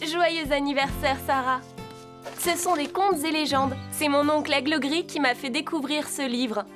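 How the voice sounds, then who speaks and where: A woman voicing a serene, kind teenage rider in a cartoon dub, soft-spoken and measured. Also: high-pitched, fairly even intonation, music in the background, natural voice. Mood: calm, kindly.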